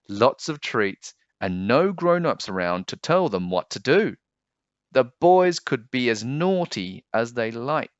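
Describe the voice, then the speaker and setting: A man reading a children's storybook aloud as an expressive narrator. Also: medium pitch, dry recording, dull audio.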